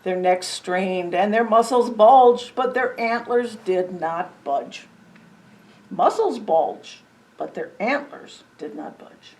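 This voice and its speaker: A woman's voice: medium pitch, expressive, slow, a little mellow. Woman reading a children's story expressively. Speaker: storybook reader. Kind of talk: children's story read-aloud with chatty asides.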